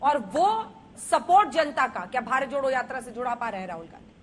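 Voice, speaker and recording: An Indian woman with a high-pitched voice moderates a live news debate over faint background noise. She interjects firmly and insistently to steer the speakers. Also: lively intonation, fairly quick.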